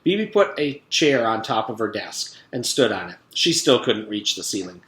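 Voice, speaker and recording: Expressive man reading a storybook, echoey room. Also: lowish voice, normal speed. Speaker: teacher reading aloud to pupils. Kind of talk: children's storybook narration.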